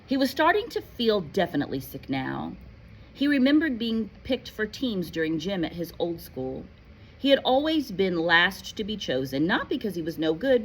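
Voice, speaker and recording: A woman reading a story aloud in an animated, playful, expressive way, like a teacher. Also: medium pitch, slightly rough. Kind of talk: expressive read-aloud narration with casual asides.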